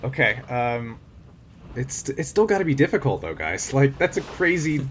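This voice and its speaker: A man runs a tabletop game as its game master in casual, conversational rulings. His voice sits at a middle pitch, with a little noise underneath. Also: very animated, moderate pace.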